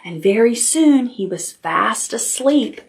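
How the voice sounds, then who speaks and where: A woman's voice: fairly high pitch, expressive, takes it slowly, gravelly, slight background noise. A woman hosting a toddler storytime as a children's librarian, reading aloud expressively in simple child-directed language with little asides.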